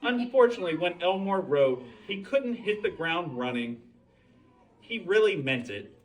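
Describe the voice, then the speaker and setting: Animated man reading aloud, recorded at a distance. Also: high-pitched. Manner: pauses to ask the listeners questions. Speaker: Santa reading a picture book at a library story time. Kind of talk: animated storytime reading to children. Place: indoors, library holiday open house.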